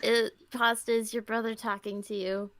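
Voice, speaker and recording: A woman's voice: fairly high pitch, level tone, moderate pace, a bit bright. A woman co-hosting a casual chat in a giggly manner.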